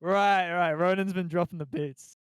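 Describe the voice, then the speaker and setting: A man's voice: high voice, fairly even intonation, moderate pace, clear, resonant tone, dry recording, clean studio sound. A young man chatting and bantering casually as a co-host on a remote group podcast call. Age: young adult.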